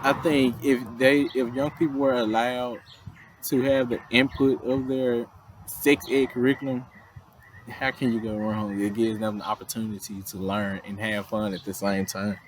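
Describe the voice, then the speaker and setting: A man, talking in a relaxed, conversational way about his story. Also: medium pitch, normal speed, a little hiss or hum, low-quality recording. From Mississippi, US. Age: 20s. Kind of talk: interview testimony.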